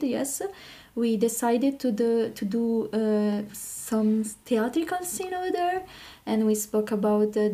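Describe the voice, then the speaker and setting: A woman with an Italian accent speaks as an interview guest. Her voice is fairly high yet dark and relaxed. She goes slowly, with halting, hesitant phrasing. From Italy. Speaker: podcast guest. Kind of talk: informal interview talk.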